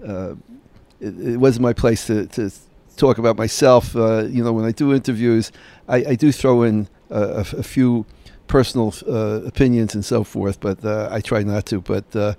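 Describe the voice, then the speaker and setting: A man in his late seventies hosting a radio interview, courteous and hesitant, full of pauses and fillers. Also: fairly low pitch, steady tone, gravelly, warm tone, no room sound. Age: late 70s. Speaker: radio show host.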